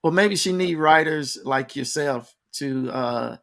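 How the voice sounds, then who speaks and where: High-voiced man hosting a casual interview. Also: slow pace, gravelly, a bit bright. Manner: repeats himself, addresses the audience directly. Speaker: interview show host.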